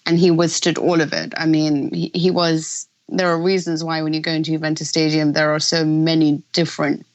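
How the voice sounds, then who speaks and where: A woman's voice: sits low, level tone, brisk. A woman in her thirties talking football on a radio phone-in, chatty and enthusiastic, laughing easily. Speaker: football pundit. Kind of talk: casual radio chat.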